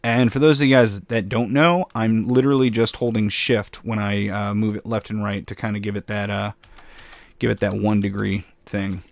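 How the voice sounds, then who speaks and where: A man casually commenting while building in a game, with a slightly raspy voice, rambling and poking fun at himself. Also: on the low side, fairly even intonation, moderate pace, no room sound. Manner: rambling, self-mocking, chuckles often. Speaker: gameplay commentator.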